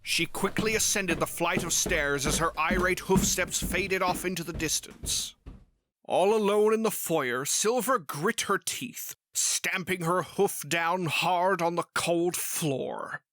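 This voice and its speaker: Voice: medium pitch, slow, fairly bright tone, a little hiss or hum. A narrator reading a scripted fantasy story in a storybook style.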